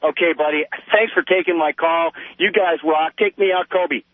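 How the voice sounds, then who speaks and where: Man, American, radio caller, chummy, talkative, fairly high voice, tinny phone connection. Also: steady tone, moderate pace, no echo. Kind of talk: casual chat.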